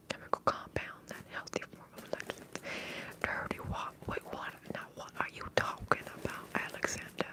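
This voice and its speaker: A woman with a Minnesotan accent whispers breathily in a rambling, conversational ASMR livestream, chatting to her viewers. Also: rough recording. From Minnesota, US upper Midwest. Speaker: livestream host.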